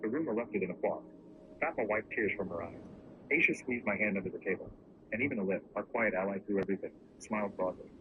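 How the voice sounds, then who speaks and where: Man, storyteller, rapid steady narration, muffled recording, noisy background. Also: on the low side. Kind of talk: scripted first-person story narration.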